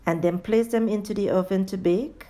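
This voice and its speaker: A woman's voice: mid-range voice, normal speed, dark tone. A woman giving a step-by-step cooking tutorial as a voice-over, addressing viewers directly as a home cooking host.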